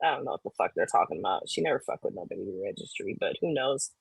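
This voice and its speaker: A woman's voice: very deep voice, very animated, quick pace, creaky. A woman co-host chatting informally and profanely between readings of online comments. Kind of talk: casual banter and dramatic readings.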